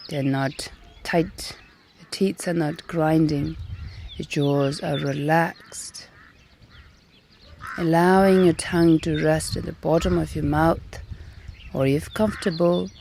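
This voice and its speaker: A woman guiding a meditation in a calm, gentle manner, leaving long pauses between short phrases. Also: low-pitched, creaky, slight background noise. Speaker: meditation and yoga teacher. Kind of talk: guided meditation instruction. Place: outdoors in nature. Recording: outdoor recording with birdsong behind. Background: birdsong and natural ambience.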